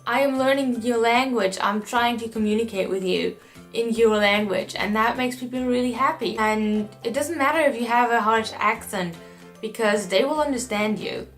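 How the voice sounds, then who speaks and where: A woman's voice: fairly high pitch, normal speed, faint music behind. Earnest young German woman vlogging. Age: young adult. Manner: earnest, reflective, encouraging. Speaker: au pair vlogger. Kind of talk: casual talk to camera.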